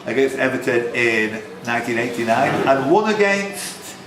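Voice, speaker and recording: A man's voice: mid-range voice, lively intonation, moderate pace. A man hosting a pub quiz, reading questions aloud with chatty, teasing, jokey asides. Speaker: quiz host, question reader. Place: tavern quiz room. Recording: roomy, faintly noisy live venue recording.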